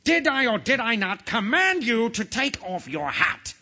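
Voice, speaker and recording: A man voicing an imperious, quick-tempered storybook king with a commanding, indignant, shouting manner. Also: medium pitch, expressive, breathy edge, full character voice. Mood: outraged. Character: imperious, furious old-fashioned king, quick to rage.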